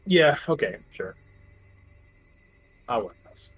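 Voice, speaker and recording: A man's voice: mid-range voice, sing-song, very slow, fairly bright tone, husky, soft background music. A man talking casually as a tabletop game player, hesitantly thinking aloud about his moves.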